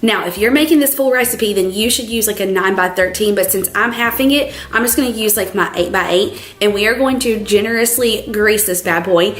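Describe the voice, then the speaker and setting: A young woman with a cold-roughened, scratchy voice, chatting brightly through a home cooking tutorial. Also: medium pitch, a little fast, hardly any pauses. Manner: chatty, bubbly, peppered with "like". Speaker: cooking vlogger. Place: home kitchen.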